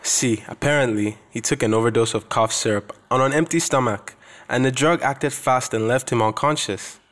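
An actor playing a calm, reassuring hospital doctor, patiently explaining in a radio drama. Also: male voice, mid-range voice, normal speed, close to an everyday voice.

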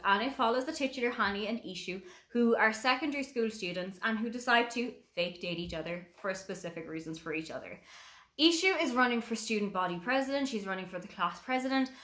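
A woman's voice: medium pitch, a bit bright. A woman in her thirties with an Irish accent, earnestly recommending books to camera from a room at home. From Ireland. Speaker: book reviewer. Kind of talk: casual book review to camera. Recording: roomy home recording.

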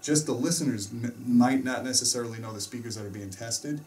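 Middle-aged American male host, casual explainer, emphatic and opinionated, average pitch, distant room mic. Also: fairly quick, a little mellow. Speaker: host and audio reviewer. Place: small indoor home theater room.

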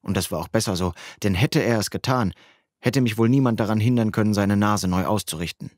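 Audiobook narrator: man with a fairly low voice, very dry studio sound, first-person youth fiction. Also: a little fast, a little mellow, dry recording.

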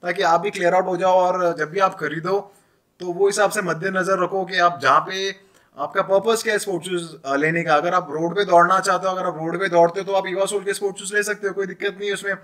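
A man's voice: high-pitched, steady tone, fast, a bit bright. A man in his thirties with an Indian accent giving casual, chatty advice in a vlog, explaining things and mixing in English phrases. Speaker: footwear dealer and vlogger. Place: small indoor room. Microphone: camera at arm's length.

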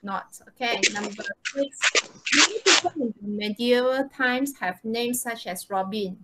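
A woman's voice: a little high, animated, unhurried, heavy background noise. A woman with a Malaysian accent teaching a live online English lesson, informal and often checking with short tag questions. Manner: informal, checks with "okay" and "right?". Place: online video call, presenting slides.

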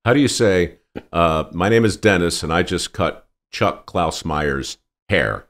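A male podcast host in his sixties chatting in a small studio, relaxed and joking, into a desk microphone. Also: low voice, unhurried. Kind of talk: casual studio chat. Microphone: desk mic on boom arm.

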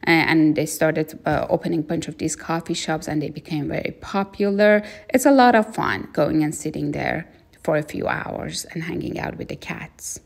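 Low, gravelly-voiced woman reviewing a trip. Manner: unhurried, frequent fillers, plain opinions. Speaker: travel vlogger. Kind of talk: casual spoken travel review.

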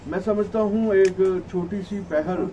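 A middle-aged man gives a formal, halting press conference statement as a federation spokesman, his voice slightly muffled by a mask. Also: sits high, mellow. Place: small indoor office at a press conference. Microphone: cluster of table microphones, camera at some distance. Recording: distant mic, noisy room ambience.

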